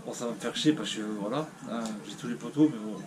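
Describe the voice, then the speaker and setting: A man speaks to his viewers with the stuffy, blocked sound of a cold. He rambles and hesitates, restarting his sentences with a downcast air. His voice sits at an ordinary pitch, over a clear layer of background noise. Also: fast talker. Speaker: YouTuber. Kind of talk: casual vlog talking to viewers.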